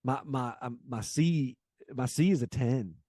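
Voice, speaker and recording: A man in his late forties talking casually as an interview guest, telling things in an energetic way and voicing quoted exchanges. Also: mid-range voice, measured pace, no room sound, studio quality. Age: late 40s. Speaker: guest, business coach and sales trainer.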